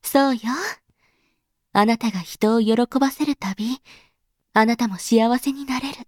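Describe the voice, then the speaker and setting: A woman acts as a patient mother in an audio drama. Her voice sits fairly high, with gentle, reassuring phrasing as if explaining to a child. The recording is very dry. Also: unhurried, dry recording. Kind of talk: Japanese audio drama acting.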